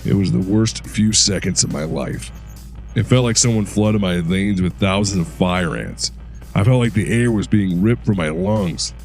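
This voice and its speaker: An actor playing a veteran male super-soldier who calmly recounts his past in an audio drama. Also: moderate pace, soft background music. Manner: steady recounting, low-key storytelling.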